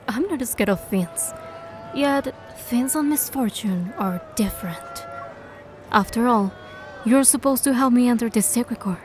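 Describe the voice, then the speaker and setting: A woman voicing a bold, curious young heroine, with expressive storytelling delivery in a fantasy game. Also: a little high, slow, slight background noise. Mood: confident, curious. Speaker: fan dub voice actor and narrator. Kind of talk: scripted first-person fantasy game narration and dialogue.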